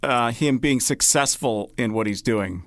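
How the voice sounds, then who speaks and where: A man's voice: mid-range voice, unhurried, no echo, clean studio sound. A man hosting a radio interview in a conversational style. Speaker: radio show host.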